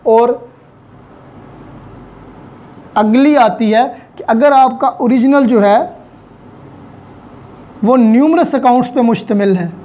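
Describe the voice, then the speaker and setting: A woman's voice: on the high side, takes it slowly, long pauses, dark tone. A woman with a Pakistani accent giving an explanatory law lecture in a didactic way, mixing in English technical terms. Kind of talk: explanatory online lecture with everyday examples.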